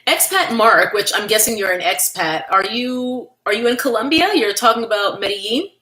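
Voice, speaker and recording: A woman's voice: medium pitch, moderate pace, crowd noise, rough recording. A woman from New York, hosting a casual livestream, chatting candidly and thanking viewers by name as she reads their comments. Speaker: livestream host.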